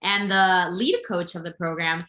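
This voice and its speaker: A woman's voice: fairly low pitch, moderate pace, pushed and bright, echoey room. A woman from Venezuela, a podcast guest and intuitive coach, telling her story in an open, upbeat way. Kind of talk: casual interview chat.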